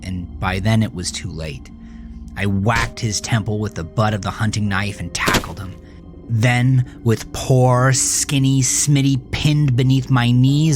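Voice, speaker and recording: Man acting a deep-voiced, haunted young confessor. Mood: cold, grim, then intense. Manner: halting, stammering, often sinking to a breathy whisper. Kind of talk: dramatic first-person horror monologue.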